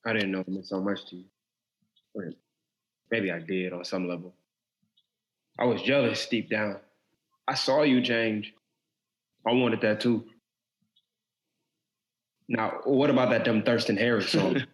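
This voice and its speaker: A man's voice: on the low side, steady tone, unhurried, pauses often, natural voice. A young man acting in an audio drama as a brooding, insecure, artistic younger brother with an earnest, informal, wounded way of talking. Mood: wistful, resentful. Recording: echoey, roomy sound.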